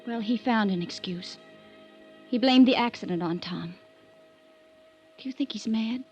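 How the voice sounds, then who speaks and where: A woman's voice: fairly high pitch, measured pace, soft background music. An actress playing a troubled, lovelorn young woman from a wealthy family in a 1950s radio drama, confiding wistfully and hesitantly. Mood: guarded, bitter. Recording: muffled vintage radio recording.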